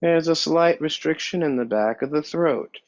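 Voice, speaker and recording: A man guiding a yoga meditation as a teacher, speaking calmly and in measured short phrases with pauses. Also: on the high side. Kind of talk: guided yoga meditation instruction. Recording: dull, muffled lo-fi sound.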